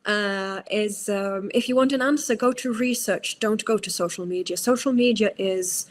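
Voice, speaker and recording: A woman's voice: mid-range voice, moderate pace. A Norwegian woman speaking English with a British-tinged accent, a psychologist giving long, thoughtful interview answers with many fillers and restarts. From Norway. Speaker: guest psychologist.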